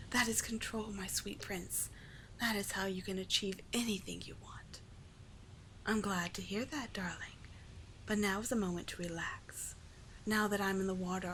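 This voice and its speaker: A regal, doting sea witch, played by a woman, speaks in a soft, breathy, medium-pitched voice that often sinks toward a whisper. She coaxes and teases in formal storybook phrases, as a soothing mentor in an ASMR roleplay. The recording is close, with a faint low rumble beneath. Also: natural voice, poor audio. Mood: soothing, musing.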